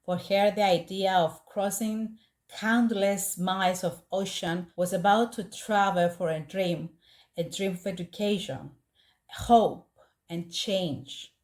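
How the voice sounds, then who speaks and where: A woman with a Greek accent, a native Greek speaker, hosting a podcast and narrating a true story in an earnest, measured way. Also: medium pitch, fairly even intonation, a little mellow. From Greece. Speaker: podcast host.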